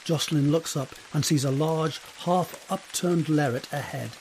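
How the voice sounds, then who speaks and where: A man's voice: a little high, normal speed, a little mellow, slight background noise, low-quality recording. A man narrating an audio drama from a script, describing the scene in the present tense.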